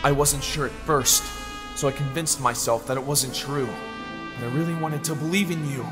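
A man's voice: mid-range voice, steady tone, moderate pace, lightly acted. A man playing a righteous, wounded young soldier in an anime dub, speaking with accusing intensity. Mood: hurt, bitter. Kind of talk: anime fan dub acting. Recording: long reverb over loud music.

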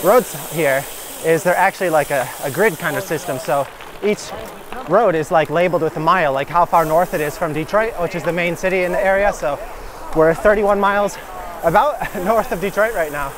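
A man's voice: high-pitched, a little fast, noisy background. An American man talking casually and enthusiastically in an easygoing, informal vlog style while riding a bike outdoors. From Michigan, United States. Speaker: cycling vlogger. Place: outdoors on a gravel country road, riding a bike.

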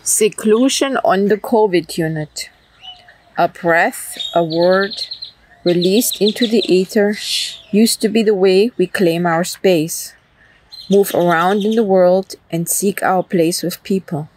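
A woman poet reciting her own work in a measured way, pausing between short lines. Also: mid-range voice, darkish tone, a little hiss or hum, low-quality recording. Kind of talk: poetry recitation.

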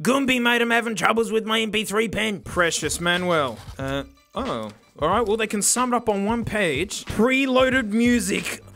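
Hoarse aussie guy unboxing a gadget, high bright voice, all over the place. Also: normal speed, soft background music. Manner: excitable, exclamatory, self-mocking. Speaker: gadget reviewer host. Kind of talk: casual unboxing commentary.

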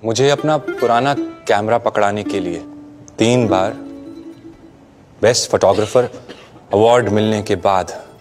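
An actor playing a young groom, speaking a slow, heartfelt and gently teasing wedding tribute in a scripted ad monologue. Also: male voice, fairly low pitch, close to an everyday voice. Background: faint background music.

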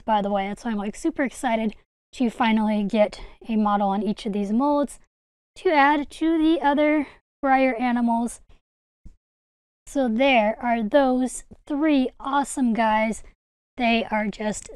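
A woman's voice: high-pitched, clean tone, no room sound. A young adult woman, hosting a casual hobby haul vlog in an enthusiastic, gushing way. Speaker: video host, hobby collector.

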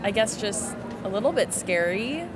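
A woman's voice: mid-range voice, lively intonation, normal speed. A woman in her early thirties from California chatting casually in an outdoor interview. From Chico, California, US. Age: 30s. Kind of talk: casual chat. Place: outdoors in a public spot. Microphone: handheld interview mic. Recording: loud music over outdoor sound.

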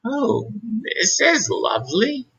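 A stern monster schoolmistress from a storybook , as a man reads her. The voice is forceful and rough-edged, with big swoops in pitch. She is theatrical and commanding, stretching out her words. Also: huge pitch swings, takes it slowly, exaggerated voice. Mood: delighted. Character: stern monster schoolteacher, adult woman, stomping and roaring, softening.

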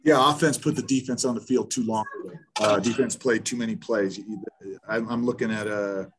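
Blunt, halting coach on a video call. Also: male voice, fairly low pitch, steady tone, noisy background. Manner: blunt, halting, with many restarts and fillers. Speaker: head football coach. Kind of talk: post-game press conference. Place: small room in front of a sponsor backdrop.

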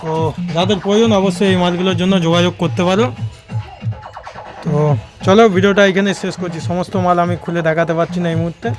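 A man's voice: sits high, steady tone, fast, over music. A man with a West Bengal Bengali accent giving casual, repetitive sales patter to viewers in an unboxing vlog. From West Bengal, India. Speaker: audio equipment seller and channel host.

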